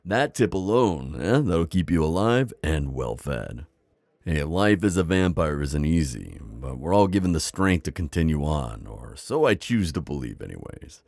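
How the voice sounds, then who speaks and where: A man playing a kindly, wise vampire innkeeper in an intimate ASMR roleplay, deep-voiced, with a folksy, chatty and slightly hesitant manner. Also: sing-song, a little slow, creaky, a little mellow, no echo, studio quality, heavily acted. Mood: encouraging. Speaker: audio roleplay performer.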